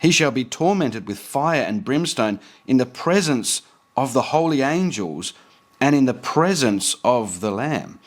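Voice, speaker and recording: A man's voice: medium pitch, lively intonation, unhurried. Earnest man preaching and reading aloud. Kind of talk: preaching commentary with read-aloud quotations.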